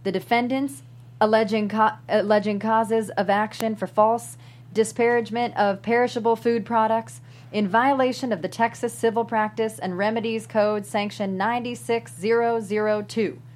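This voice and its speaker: A woman's voice: fairly high pitch, steady tone, normal speed, a little mellow, close to an everyday voice. A woman playing a formal courtroom judge, reading out official wording in a script table read. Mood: formal, solemn.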